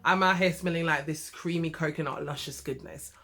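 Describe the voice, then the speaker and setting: Woman, British accent, young adult, slightly nasal, bright tone, chatty and affectionate. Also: deep voice, steady tone, a little fast. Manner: chatty and affectionate, full of asides to viewers. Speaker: beauty vlogger. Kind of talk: chatty fragrance review.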